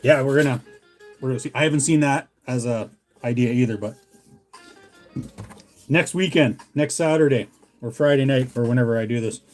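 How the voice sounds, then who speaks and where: A man's voice: medium pitch, normal speed, pauses often, a little mellow, faint music behind. A Canadian man hosting a casual livestream, rambling in a laid-back, folksy way as he chats with viewers. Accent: Canadian English. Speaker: livestream host, hobbyist lure maker. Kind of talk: casual chat with live viewers.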